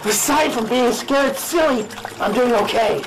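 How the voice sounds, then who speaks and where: A man's voice: very high voice, measured pace, creaky, full character voice, low-quality recording. A man playing an earnest, easily frightened chief in a spoof radio drama, with melodramatic delivery. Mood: relieved. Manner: melodramatic, exclamatory. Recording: loud music under the voice.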